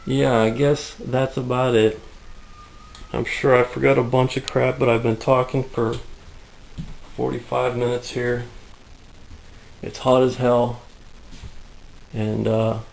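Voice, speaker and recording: A man giving a casual tour of his home workshop, rambling through his explanations with lots of fillers, out of breath and getting raspy in the heat. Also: mid-range voice, level tone, slow, long pauses, darkish tone, poor audio. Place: hot, humid home workshop.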